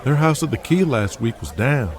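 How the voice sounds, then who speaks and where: A man's voice: medium pitch, very animated, normal speed, close to an everyday voice. A man playing an anxious, deferential backstage assistant to a wrestling boss in an audio drama, hesitant and fretful. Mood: cautious. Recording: crowd noise behind the voice.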